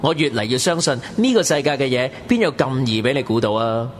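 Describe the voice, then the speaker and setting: A man's voice: medium pitch, animated, brisk, slight background noise, natural voice. A man playing a wry, slangy young narrator in a Cantonese radio drama. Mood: wry narrating. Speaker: narrator and character.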